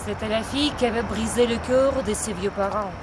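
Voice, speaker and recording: A woman reading a tale aloud in a plain, steady way, outdoors with traffic behind. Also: on the high side, brisk. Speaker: reader. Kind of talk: amateur story reading.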